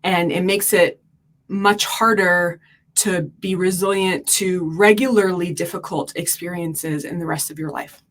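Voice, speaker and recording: Woman, from Turkey, earnest, filler-heavy, low voice, interview guest. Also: fairly even intonation, measured pace. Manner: earnest, explains at length with many fillers. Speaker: podcast guest. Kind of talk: explanatory interview talk.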